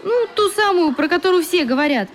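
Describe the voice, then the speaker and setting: An actress playing a pushy, inquisitive child at summer camp in a radio play, insistent and quick to take offence. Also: female voice, very high voice, animated, soft background music, a faint crowd. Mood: coaxing, insistent. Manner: insistent, indignant.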